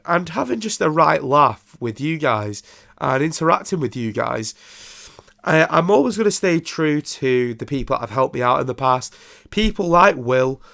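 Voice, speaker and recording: Young podcast host with a blocked, nasal voice and plenty of gravel, chatting loosely at an ordinary pitch. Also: male voice, a bit bright. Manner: rambling, with many ums and restarts. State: congested and nasal from a throat infection. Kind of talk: casual solo podcast chat.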